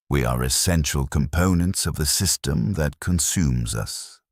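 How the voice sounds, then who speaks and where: An extremely deep male narrator's take on a weary imperial bureaucrat, recorded close and dry. He delivers a grim first-person story in formal, measured sentences, resigned and fatalistic about his duty. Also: darkish tone, dry recording, heavily acted. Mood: grim resignation.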